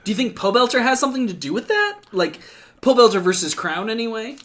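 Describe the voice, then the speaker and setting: A man hosting a casual esports podcast, talking quickly with restarts and the odd swear word. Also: very high voice, sing-song, fairly bright tone. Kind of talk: casual esports analysis chat.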